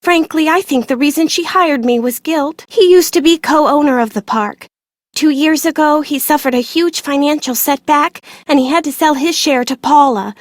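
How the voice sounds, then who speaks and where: A woman's voice: high-pitched, moderate pace, dry recording, studio quality, lightly acted. A woman playing a bitter, cynical young office worker in a video game, sardonic and matter-of-fact. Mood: resentful, matter-of-fact.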